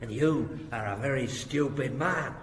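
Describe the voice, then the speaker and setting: A man's voice: medium pitch, very noisy, lightly acted. A man playing a bullying, contemptuous prison guard in a radio drama, taunting and menacing in an echoing block. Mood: sneering, taunting. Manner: taunting, drawn-out commands. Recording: echoey, reverberant cell-block sound.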